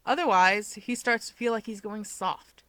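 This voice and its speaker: A woman's voice: medium pitch, moderate pace, fairly bright tone, no room sound. A woman reading scripted fiction aloud as an audiobook narrator.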